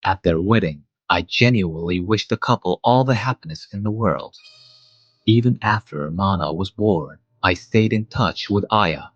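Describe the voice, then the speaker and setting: A man playing a mild, wistful adult guardian, narrating a manga dub in a measured, reflective way. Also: deep voice, dry recording, muffled recording. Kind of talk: scripted first-person manga dub narration.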